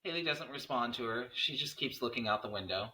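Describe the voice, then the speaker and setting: A man's voice: mid-range voice, expressive, brisk, pushed and bright, poor audio. A man reading screenplay stage directions as scripted narration at a table read.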